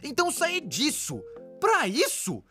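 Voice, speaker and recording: High, animated Brazilian cartoon narrator voiced by a woman. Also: takes it slowly, faint music behind, heavily acted. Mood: wry, enthusiastic. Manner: exaggerated, informal, jokey delivery. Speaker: narrator of an animated explainer video. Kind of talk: scripted comic explainer narration, dubbed.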